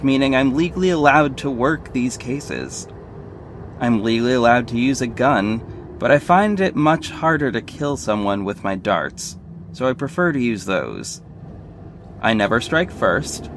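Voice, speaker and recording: A man's voice: medium pitch, natural voice. A man playing a confident, flirty detective and secret vigilante, narrating an audio drama in the first person, conversational and confiding. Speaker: narrator and lead character. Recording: faint background noise.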